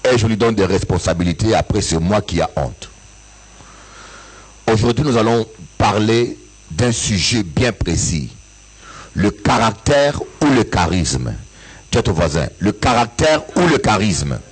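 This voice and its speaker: Husky bishop from africa preaching hard, voice swooping way up and down, sometimes shouting. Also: male voice, lowish voice, big pitch swings, unhurried, poor audio. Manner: impassioned preaching with sing-song rises and shouted peaks. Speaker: bishop and pastor. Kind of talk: sermon. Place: church service with an audience.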